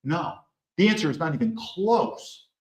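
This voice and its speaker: A man hosts a solo commentary monologue. His voice sits a little high for a man and has a blunt, opinionated edge. He keeps asking rhetorical questions, and the room around him is echoey. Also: big pitch swings, measured pace. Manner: rhetorical, peppers talk with questions. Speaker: host.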